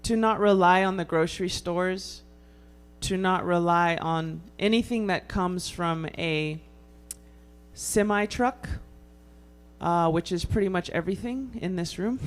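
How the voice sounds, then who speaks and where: A woman answering a panel question in a hesitant, quiet way, with many pauses and ums. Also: lowish voice.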